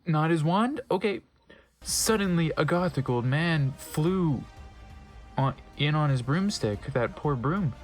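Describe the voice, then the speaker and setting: A man's voice: fairly high pitch, animated, slow pace. Wry man reading a story over music. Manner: wry, stumbles over misspelled words and comments on them. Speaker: narrator reading fan fiction aloud. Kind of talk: dramatic comedic reading with offhand asides.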